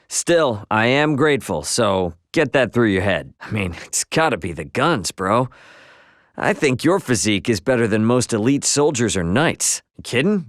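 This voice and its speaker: An easygoing young male video game hero, with a lively, swinging delivery and a mid-range voice. He sounds cocky but good-natured, casual and teasing. The recording is close and dry. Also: mid-range voice, dry recording. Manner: casual, slangy, teasing, expressive. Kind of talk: English video game dialogue acting.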